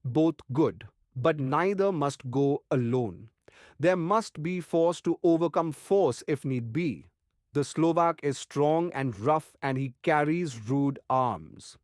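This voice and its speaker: A man playing an earnest older professor in an audiobook drama, commanding a band of vampire hunters in deliberate, formal, oddly phrased speech. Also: fairly high pitch, animated, a little mellow, no room sound, clean studio sound. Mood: approving, cautioning.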